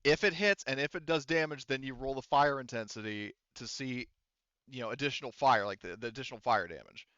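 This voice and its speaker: A man's voice: mid-range voice, a little fast, sharp tone, clear, resonant tone, dry recording, muffled recording. A man talking casually and with some swearing as game master, explaining rules to players at a tabletop game. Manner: casual, profane.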